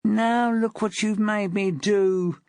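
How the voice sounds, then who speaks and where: A woman voicing a menacing, obsessive, jealous husband in an audiobook, crooning and hissing with drawn-out words. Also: fairly low pitch, very animated, husky, no room sound, studio quality, heavily acted. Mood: whining.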